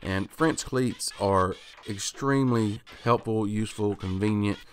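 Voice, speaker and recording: A man in his thirties hosts a woodworking vlog, narrating in an easy, unhurried way with plenty of uhs and restarts. His voice sits a bit low. A faint hiss runs under the voice-over. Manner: relaxed, thinking aloud with frequent "uh" and restarts. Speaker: woodworking channel host. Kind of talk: casual voice-over explaining a project.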